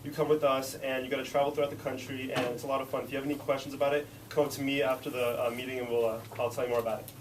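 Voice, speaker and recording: A congested young man talks quickly through club announcements, stumbling over fillers. He is picked up from a distance in a classroom with clear background noise. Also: mid-range voice, little pitch movement. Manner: rambling with many uh fillers. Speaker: club officer in charge of rotations. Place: classroom meeting. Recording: distant mic in a noisy room.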